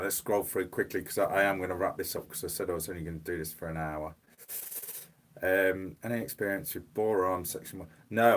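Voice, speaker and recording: Hoarse man chatting on a livestream. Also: lowish voice, a bit bright. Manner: rambling, repeats words. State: losing his voice, coughing. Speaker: livestream host. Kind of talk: casual livestream chat reading comments. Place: small home room. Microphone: mobile phone.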